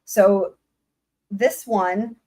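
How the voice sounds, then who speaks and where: Excited hoarse woman livestreaming. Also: mid-range voice, extremely slow. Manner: excited, rambling, swears casually. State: voice going hoarse. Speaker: livestream host. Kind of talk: casual livestream chat.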